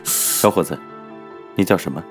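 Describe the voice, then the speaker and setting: Deep-voiced smug clinic doctor, acted by a man. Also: normal speed, over music, natural voice. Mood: smoothly reassuring. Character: smug, soothing male correction-clinic doctor. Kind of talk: audio drama acting.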